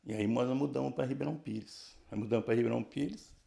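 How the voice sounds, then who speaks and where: Older São man, 60s, gravelly and dark-toned, halting testimony with constant tag questions. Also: mid-range voice. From Brazil, São Paulo region. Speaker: church member giving testimony. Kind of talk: casual life-story telling.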